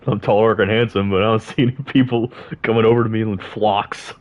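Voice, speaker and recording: A man's voice: lowish voice, fairly even intonation, brisk. A man chatting casually while playing a game, joking, swearing and laughing often. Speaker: gamer. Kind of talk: casual gaming chat. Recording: dull lo-fi sound.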